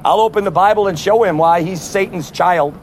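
Street preacher, male, high and forceful, loud, open-air with light traffic hiss. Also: brisk. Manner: forceful, repeatedly addressing "neighbors". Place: outdoors on a street outside a concert. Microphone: no amplifier.

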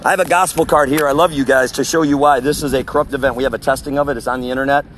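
A man preaching loudly and forcefully on an outdoor sidewalk, proclaiming to passersby. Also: mid-range voice, steady tone, rapid-fire, slight background noise. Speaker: street preacher. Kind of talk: open-air street preaching.

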